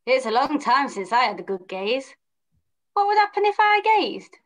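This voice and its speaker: A woman's voice: high-pitched, sing-song, moderate pace. A young woman playing a cheeky, idle teenage son in a pantomime read-through, with a questioning manner. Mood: cheeky, curious. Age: young adult. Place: room at home, video call.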